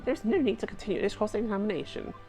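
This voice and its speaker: A woman's voice: medium pitch, animated, fast, dark tone, faint music behind, voice pushed up, close to an everyday voice. A woman voicing a smug, dismissive male prosecutor in a fan-dubbed game.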